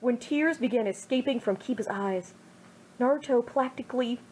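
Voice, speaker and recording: A woman's voice: on the high side, moderate pace, a little mellow, a little hiss or hum, low-quality recording. A woman reading fan fiction aloud as an amateur storyteller. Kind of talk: storytelling, read-aloud narration.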